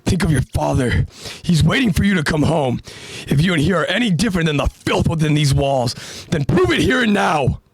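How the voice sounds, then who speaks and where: A man voicing a cold, ruthless young male soldier in a low, menacing tone. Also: lively intonation, fairly quick, no echo, full character voice. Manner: low, menacing, gravelly delivery.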